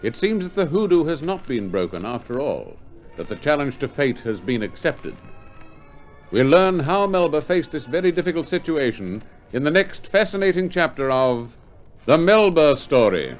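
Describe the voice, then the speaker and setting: Serial narrator, male, fairly high voice, formal and highly inflected, music behind. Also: big pitch swings, normal speed. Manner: theatrical, formal. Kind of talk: dramatic scripted narration, vintage radio serial. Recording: muffled vintage radio recording.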